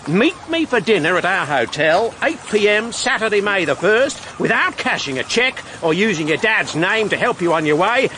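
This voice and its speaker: A stubborn Australian businessman in a radio play. His voice is on the high side and very animated, blunt and goading. There is clear background noise around him. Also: normal speed, hardly any pauses, heavily acted, low-quality recording. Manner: blunt, scoffing, challenging. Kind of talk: radio drama acting.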